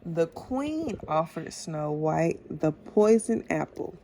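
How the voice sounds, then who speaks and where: A woman's voice: low-pitched, big pitch swings, slow pace, mellow, a little hiss or hum. A mother reading a children's story aloud, with casual asides to her child.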